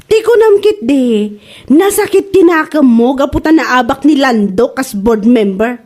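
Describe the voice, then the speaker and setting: A woman with an Ilocano accent voicing a mocking, sharp-tongued village gossip in a comedy drama. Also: high voice, lively intonation, unhurried.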